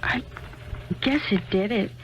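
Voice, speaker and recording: A woman's voice: mid-range voice, measured pace, fairly bright tone, lightly acted. An actress playing a shy young woman who speaks hesitantly and stammers, in a 1970s radio drama. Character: shy young woman, guarded about her past. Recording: dull lo-fi archival radio with faint hiss.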